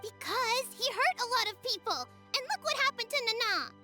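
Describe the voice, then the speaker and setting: A young village boy talks in a very high, lively voice, childlike and earnest. He sounds a little defensive yet sincere. Game music runs beneath. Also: moderate pace, exaggerated voice. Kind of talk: video game dub.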